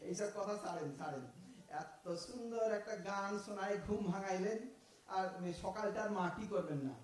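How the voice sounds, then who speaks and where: An actor playing a cheeky small-time village thief with an East Bengal rural dialect, talking in a sly, cocky drawl in a radio play. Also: male voice, high-pitched, moderate pace, close to an everyday voice. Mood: mocking. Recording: echoey room, far from mic.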